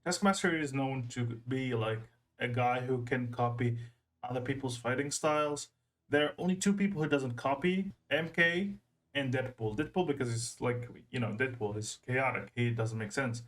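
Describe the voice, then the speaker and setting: A man's voice: mid-range voice, moderate pace, a bit bright. A man hosting a reaction video in a casual, rambling way, repeating phrases for emphasis.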